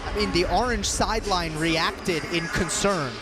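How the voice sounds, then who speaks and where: A man's voice: high-pitched, unhurried, over music. A man giving conversational, opinionated live sports analysis as a color commentator, thinking aloud as he goes.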